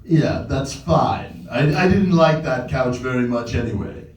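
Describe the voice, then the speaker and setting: Nervous, tactless, lonely everyman, played by a man, slow apologetic comedy delivery, heard off-mic in a roomy space. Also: mid-range voice, lively intonation, lightly acted. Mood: nervous politeness. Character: nervous, awkward, lonely man desperate to please. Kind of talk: comedy screenplay table read. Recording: roomy, distant mic at live event.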